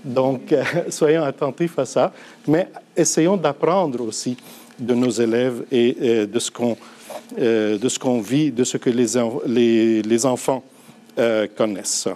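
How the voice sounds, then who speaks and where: Mellow-voiced man giving a talk. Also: medium pitch, steady tone, slow. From Lebanon. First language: Arabic. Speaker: university conference speaker. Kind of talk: conference talk.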